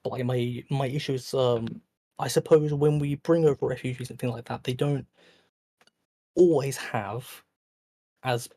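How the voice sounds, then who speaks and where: A man's voice: medium pitch, fairly even intonation, unhurried, pauses often, warm tone. A tired man talking late at night as a guest in a casual online debate, hesitant and full of fillers. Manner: hesitant, filler-heavy, restarts often.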